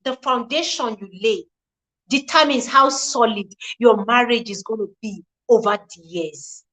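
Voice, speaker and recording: A woman's voice: fairly high pitch, a little slow, pushed and bright. A woman in her forties hosting a live relationship talk, speaking earnestly in a repetitive, preachy cadence and greeting viewers by name. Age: 40s. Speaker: relationship show host.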